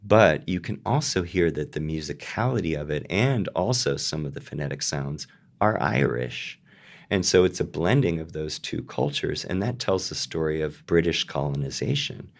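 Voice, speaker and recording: A man speaking as an interviewed dialect coach, explaining in a thoughtful, measured way. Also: a bass voice, lively intonation, gravelly.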